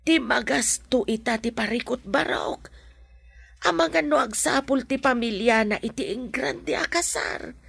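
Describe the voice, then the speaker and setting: An actress playing a worried, doubtful older mother with a raspy, creaky voice and Ilocano accent in a radio drama. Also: a little high, normal speed, a bit bright, no echo, full character voice. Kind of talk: Filipino radio drama acting.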